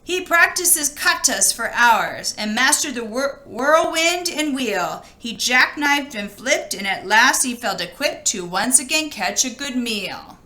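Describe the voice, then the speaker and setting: Animated, bright-voiced woman reading aloud. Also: on the high side, measured pace, hardly any pauses. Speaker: teacher reading aloud to children. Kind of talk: children's storybook read-aloud.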